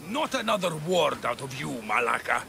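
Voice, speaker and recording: An actor playing a ruthless, cornered crime boss in hiding, ranting and menacing in a video game cutscene. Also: male voice, mid-range voice, very animated, gravelly, noisy background. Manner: ranting, menacing, slow delivery. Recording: music under the voice.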